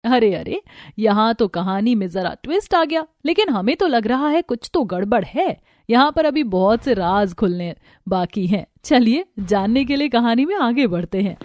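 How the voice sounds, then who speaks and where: High-voiced woman narrating a story. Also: lively intonation, moderate pace, a little mellow, no room sound, clean studio sound. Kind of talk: scripted cartoon story narration.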